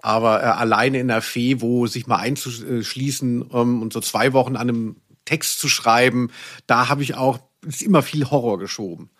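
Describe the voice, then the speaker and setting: A man's voice: medium pitch, normal speed, a bit bright. A man co-hosting a casual comedic podcast, talking with ironic, theatrical exaggeration. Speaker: podcast co-host. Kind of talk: casual comedic podcast chat about audio dramas.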